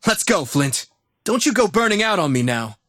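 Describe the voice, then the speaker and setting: A man's voice: high voice, big pitch swings, moderate pace, fairly bright tone, heavily acted. A man voicing a cool, confident young gym leader in anime-style game lines. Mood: confident, spirited.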